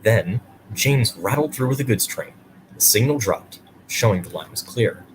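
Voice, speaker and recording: A man's voice: medium pitch, animated, normal speed, slight background noise, low-quality recording. A man hosting and reading a children's bedtime story aloud. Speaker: storyteller and host.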